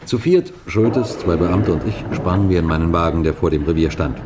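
A man playing an authoritative middle-aged police detective, recounting his case in a measured, emphatic way in a vintage radio crime drama. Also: sits low, background noise, close to an everyday voice. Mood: tense narration. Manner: measured, deliberate, emphatic storytelling. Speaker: narrator and lead actor.

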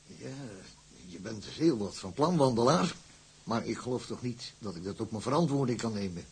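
Actor as gravelly, world-weary shipping boss. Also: male voice, medium pitch, animated, normal speed, background noise, close to an everyday voice. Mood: reluctant, sincere. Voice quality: gravelly, husky. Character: regretful, cautious, world-weary male tugboat company owner.

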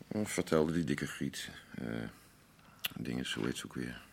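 An actor playing a gruff, evasive working man in a radio drama, with a gravelly, husky voice and a halting, terse way of talking. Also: a bass voice, heavily acted. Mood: awkward, distracted. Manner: halting, plain-spoken, terse. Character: gruff, evasive working-class man caring for fragile woman. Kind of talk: naturalistic Dutch radio drama acting.

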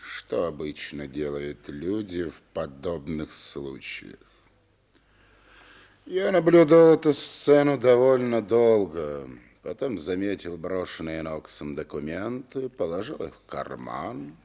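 A man's voice: low voice, sing-song, slow, dark tone, full character voice. A man acting in a radio play as a smug, insinuating henchman and informer, with a sardonic, drawling, mock-delicate manner.

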